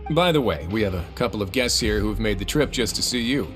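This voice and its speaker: A commanding duke in a video game. His voice is on the low side, measured and dry, and he speaks with composed, matter-of-fact authority. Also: lively intonation, fairly bright tone, faint music behind. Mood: brisk, composed. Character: composed, authoritative male duke running a prison fortress.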